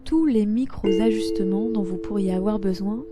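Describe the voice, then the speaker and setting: Female meditation guide, mid-pitched, soothing and unhurried, loud music bed underneath. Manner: soothing, unhurried instruction with long pauses. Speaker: yoga nidra guide.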